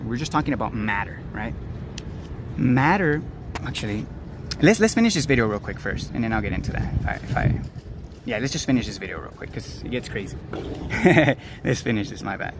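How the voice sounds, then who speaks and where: A raspy-voiced man talks loosely on his own live stream, stumbling and restarting as he goes. His pitch sits in an ordinary middle range. A steady wash of background noise runs under him. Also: a little fast. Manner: rambling, stumbles over words, laughs it off. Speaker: streamer and channel host. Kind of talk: casual live-stream commentary.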